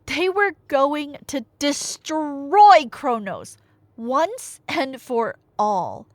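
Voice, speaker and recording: A young woman retelling a tale as a chatty, theatrical storyteller, with emphatic delivery, dry humor and dramatic pauses. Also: high-pitched, very animated, no room sound. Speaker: audio storyteller playing a nerdy friend. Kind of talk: casual dramatic retelling of myth, as ASMR roleplay.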